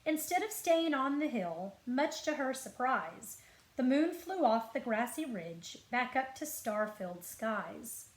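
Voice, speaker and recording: A woman reading a picture book aloud to children as a librarian, with expressive, deliberate phrasing. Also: fairly high pitch. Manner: expressive storytelling, clear deliberate phrasing, asks listeners questions. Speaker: school librarian. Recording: roomy echo.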